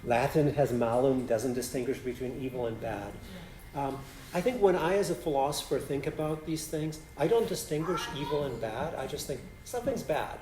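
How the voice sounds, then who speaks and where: A man's voice: mid-range voice, animated, normal speed, reverberant, noisy background. A man whose first language is Polish, a philosophy professor answering audience questions after a lecture, thinking aloud and often checking in with "right?". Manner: thinks aloud, restarts phrases, frequent "right?".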